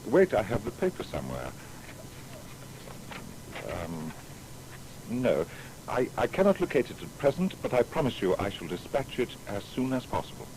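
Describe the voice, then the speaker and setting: A proud, learned man of science in a period audio drama, speaking formal old-fashioned English. His fairly low voice swings expressively, over faint background noise. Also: big pitch swings, normal speed, pauses often. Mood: absent-minded, assured. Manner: formal, archaic, theatrical English diction. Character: learned, proud male scientist, distracted yet indignant. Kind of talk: period radio drama acting.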